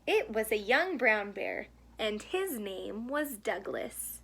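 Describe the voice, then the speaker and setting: A woman reading a picture book aloud to children in an expressive storytelling style. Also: mid-range voice, takes it slowly, vocal fry. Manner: asks the young listeners questions. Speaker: storybook reader.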